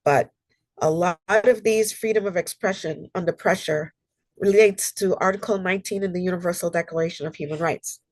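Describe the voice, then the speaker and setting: A woman with a lowish voice presents over a video call, sounding slightly nasal as she gets over a cold. Her talk is earnest and conversational, dotted with ums. The sound is dry and close, with no room echo. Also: fairly quick, a little mellow. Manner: earnest, conversational, with frequent ums and personal stories. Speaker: human rights consultant and presenter. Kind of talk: online library presentation.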